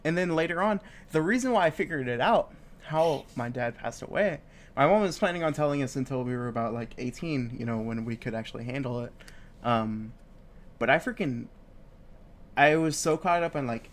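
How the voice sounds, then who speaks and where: A man's voice: medium pitch, fairly quick. Sniffly young man swearing through casual chat. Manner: rambling, heavy swearing, many fillers. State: sniffly from a cold. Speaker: podcast co-host. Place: home studio, live-streamed.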